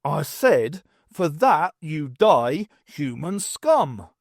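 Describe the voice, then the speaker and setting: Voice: medium pitch, big pitch swings, drawn-out words, no room sound, clean studio sound, heavily acted. An audiobook narrator voicing a small, angry, proud male dwarf who spits out threats melodramatically. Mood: threatening. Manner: spitting, melodramatic.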